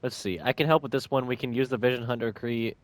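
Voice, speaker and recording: A man's voice: medium pitch, fairly even intonation, quick pace, dry recording. A man giving casual gameplay commentary, muttering indecisively as he thinks aloud. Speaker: gamer playing on stream. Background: game music.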